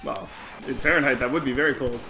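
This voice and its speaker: A man's voice: medium pitch, low-quality recording. Bantering man over game music. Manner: joking, quick back-and-forth banter. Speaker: podcast co-host. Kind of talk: casual chat while playing a video game.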